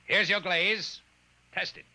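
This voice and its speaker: A man playing a determined, wry, stubborn space agent in 1950s radio drama, brisk and plain-spoken. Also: high-pitched, steady tone, sharp tone, no echo, exaggerated voice. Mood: tense. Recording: noisy vintage 1950s radio recording.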